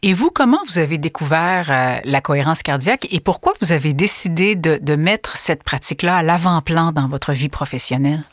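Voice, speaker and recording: A woman's voice: deep voice, expressive, a little fast, few pauses, no room sound, studio quality. A woman hosting a radio interview, asking polite, brief questions. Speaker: radio host.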